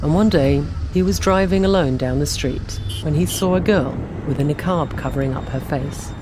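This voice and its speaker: A woman's voice: sits low, moderate pace, background noise. A woman journalist reading scripted documentary narration in a storytelling style.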